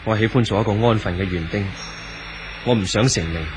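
A young, idealistic romantic hero played by an actor in an old Hong Kong Cantonese radio drama. He speaks in a fairly low voice, solemn and declamatory, with clear music underneath. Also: a little fast, natural voice. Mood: tender, hopeful. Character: earnest young romantic man, idealistic and brooding.

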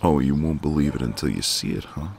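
A man playing a flirty, cocky but gentle ex-marine neighbour in an intimate ASMR roleplay, teasing in a folksy way. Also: very deep voice, lively intonation, brisk, gravelly, a little hiss or hum, exaggerated voice.

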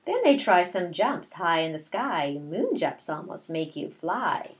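A middle-aged woman, a kindergarten teacher, reading a picture book aloud in a deliberate, expressive way with asides to young children. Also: lowish voice. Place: small bedroom at home, indoors.

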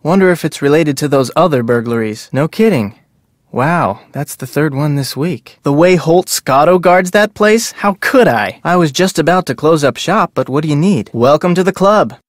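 Casting: male actor as breezy, slightly sly tour-boat operator for an adventure game, higher-pitched voice, glib and chatty, dry close recording. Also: animated, normal speed, no echo, lightly acted. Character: chatty, salesmanlike whale-watch operator with a sly side. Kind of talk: video game character dialogue.

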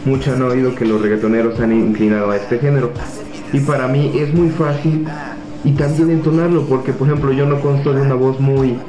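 A sixteen-year-old Mexican boy from Puebla, talking softly and hesitantly into a webcam in a small office, with many fillers. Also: mid-range voice, fairly even intonation, fairly quick. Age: teens. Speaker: young reggaeton artist. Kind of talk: self-interview to webcam. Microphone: webcam at desk. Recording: echoey room with music behind.

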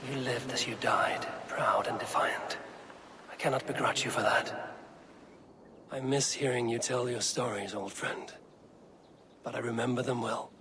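An actor playing a grim, honour-bound Viking warrior in a video game, with a gravelly, solemn and forceful delivery. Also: male voice, medium pitch, steady tone, slight background noise. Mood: quiet grief. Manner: solemn, forceful, measured declamation. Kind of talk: dramatic video game acting. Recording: loud music under the voice.

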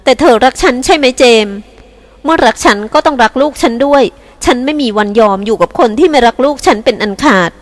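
A woman's voice: high-pitched, lively intonation, quick pace, pitched above the performer's own voice. A woman reading aloud and voicing an anxious, scheming woman devoted to her young son, in a pleading, urgent way.

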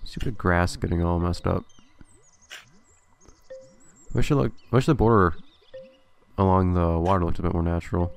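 A man's voice: deep voice, steady tone, a little fast, vocal fry, faint music behind. A man commentating a gameplay video casually, thinking aloud with a slightly nasal, stuffy voice. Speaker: let's play host.

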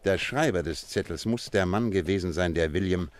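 An actor playing a confident, courteous detective with a keen analytical mind, precise and polite in a German radio play. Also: male voice, low-pitched, moderate pace, natural voice.

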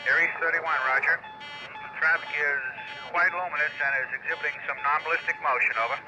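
A man's voice: a little high, steady tone, fairly quick, loud music behind the voice. A man playing a composed airline pilot in a film, reporting matter-of-factly through a radio filter. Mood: controlled urgency. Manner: understated, matter-of-fact. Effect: cockpit radio filter. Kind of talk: radio procedure talk in a film. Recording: dull, noisy aircraft radio link.

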